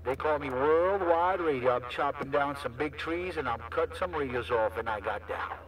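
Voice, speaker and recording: A man talks over CB radio in casual, repetitive slang. His voice is bright and forceful, and it comes through a radio from some distance off the mic. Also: mid-range voice, normal speed. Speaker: CB radio operator.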